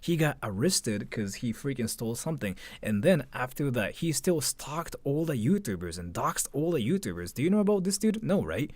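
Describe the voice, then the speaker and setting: A man's voice: mid-range voice, big pitch swings, normal speed, few pauses, darkish tone, dry recording. A man chatting casually on a livestream, informal and slangy, often putting questions to his viewers. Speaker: live streamer. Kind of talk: casual livestream chat, commenting and answering viewers.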